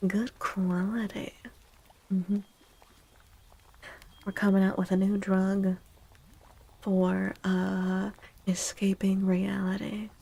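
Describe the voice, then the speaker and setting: A woman speaking in soft, hushed, breathy ASMR style, often slipping into whispers, chatting playfully with livestream viewers. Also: mid-range voice, flat delivery, takes it slowly, pauses often. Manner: playful, teasing. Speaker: ASMR streamer and tarot reader.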